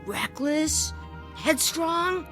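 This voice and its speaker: High, bright headstrong alien wife. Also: female voice, animated, very slow, faint music behind, exaggerated voice. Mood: relieved, tender. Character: two-headed alien country wife, headstrong, affectionate. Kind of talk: radio drama acting.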